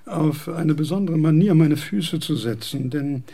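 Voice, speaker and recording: A fussy old philosopher in a radio play, gravelly, husky, very slow. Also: male voice, on the high side, mellow. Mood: pedantic. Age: older. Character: frail aged male philosopher, fussy and wandering.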